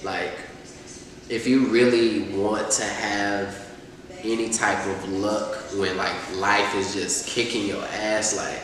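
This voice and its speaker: Young dude rapping about life, chill and rambly, roomy echo, mic kinda far. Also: male voice, fairly low pitch, slow pace, a little hiss or hum. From Atlanta, USA. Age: 20s. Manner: relaxed, rambling, lots of fillers. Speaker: rapper, guest. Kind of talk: casual sit-down interview. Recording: echoey room, distant mic.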